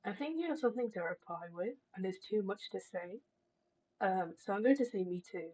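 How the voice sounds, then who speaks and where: A woman's voice: medium pitch, expressive, a little fast, darkish tone, breathy edge, poor audio. A woman streaming a game, chatting casually in a rambling, joking way.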